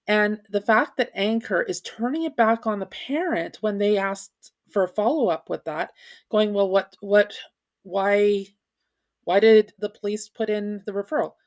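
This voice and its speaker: A woman's voice: medium pitch, measured pace. A woman giving informal, argumentative commentary, speaking emphatically and posing rhetorical questions. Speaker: video commentator, parent advocate.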